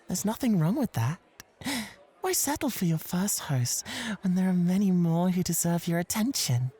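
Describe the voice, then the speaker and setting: A man's voice: high voice, sing-song, normal speed, warm tone, slightly rough, heavily acted. A man playing a sly, manipulative club host and jealous suitor in an ASMR roleplay, teasing and flirtatious with theatrical emphasis. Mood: sly, persuasive.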